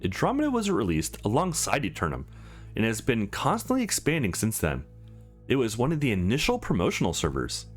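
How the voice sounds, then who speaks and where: A man's voice: mid-range voice, huge pitch swings, a little fast. A man voicing an upbeat female company receptionist in a game, with polished, formal sales patter. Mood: informative. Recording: music behind the voice.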